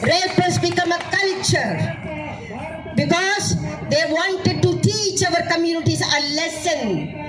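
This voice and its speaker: A woman, her voice worn hoarse, giving a fervent rally speech, shouting and asking rhetorical questions. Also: very high voice, lively intonation, takes it slowly, music in the background. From India. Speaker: activist speaking at a rally. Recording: speech over a cheering crowd.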